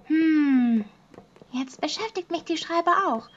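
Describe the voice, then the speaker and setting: Very high, childlike anime girl. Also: takes it slowly, muffled recording, a little hiss or hum. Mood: curious. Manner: childlike, singsong, curious. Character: childlike, carefree, curious teenage girl. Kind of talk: German fan-dubbed anime audio drama.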